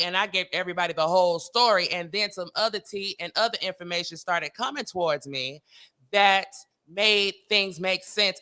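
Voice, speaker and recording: A woman's voice: lowish voice, pushed and bright. A middle-aged woman from Los Angeles telling gossipy stories as an interview guest, brash, sassy and emphatic. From Los Angeles, United States. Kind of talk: gossipy storytelling.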